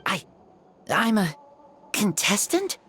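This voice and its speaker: A woman voicing a frail, timid young child in a video game, speaking haltingly and unsure. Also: mid-range voice, sing-song, bright, forceful tone, slight background noise, exaggerated voice. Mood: shy disbelief. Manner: hesitant, halting.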